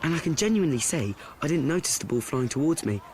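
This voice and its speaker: A man's voice: on the high side, sing-song, fast. An actor playing an anxious, awkward schoolboy who narrates his woes in a rambling radio play monologue, correcting and repeating himself. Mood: dejected, frantic. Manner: rambling, repetitive, self-correcting.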